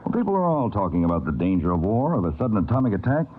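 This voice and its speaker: An actor playing a shrewd, authoritative counterspy chief in a vintage radio drama, clipped and decisive in delivery. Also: male voice, lowish voice, very animated, racing. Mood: pondering. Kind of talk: 1950s radio drama acting. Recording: tinny old radio broadcast.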